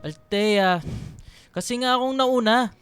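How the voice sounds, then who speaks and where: A woman's voice: a little high, unhurried, smooth, no room sound, close to an everyday voice. A woman playing an earnest, placating young boyfriend in a radio drama, with a playful Filipino-accented delivery. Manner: pleading, playful. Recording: music bed under voice.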